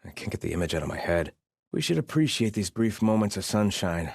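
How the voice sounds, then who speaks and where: A wary soldier of a sun-worshipping army for a video game. His voice is low, the delivery stern and formal, with clipped phrases, recorded very dry and close. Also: very animated, brisk, creaky, darkish tone, breathy edge, dry recording, heavily acted. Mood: wary, discontented. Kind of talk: scripted video game voice lines.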